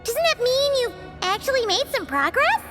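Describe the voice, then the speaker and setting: A woman voicing a tiny, excitable female fairy companion in a video game cutscene, asking wide-eyed, alarmed questions. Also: very high-pitched, moderate pace, heavily acted. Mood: alarmed curious. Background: game score music.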